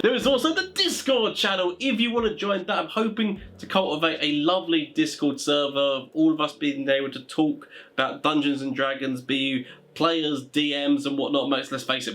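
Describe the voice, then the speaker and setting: A man's voice: on the high side, a bit bright. A man speaking informally as an online video host, giving advice in a rambling, conversational way full of filler phrases. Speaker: YouTube host giving tabletop game master advice. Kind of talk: informal advice talk to viewers.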